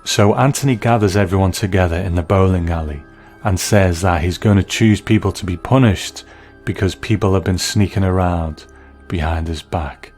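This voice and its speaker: A man with a low-pitched voice narrates a scripted television review as its host. His phrasing is measured and reflective, with music playing underneath. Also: a little mellow.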